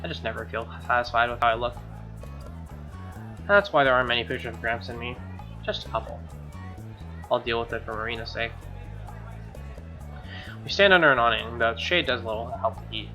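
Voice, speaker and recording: A man's voice: medium pitch, a little fast, long pauses. A man reading a visual novel aloud as a let's play host, with wry, joking casual asides. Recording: dull lo-fi sound, music behind.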